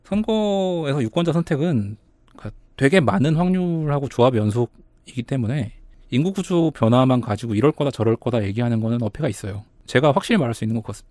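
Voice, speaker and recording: A man's voice: mid-range voice, lively intonation, brisk, mellow, no room sound. A man appearing as a data analyst guest on a podcast, explaining carefully and hedging his claims.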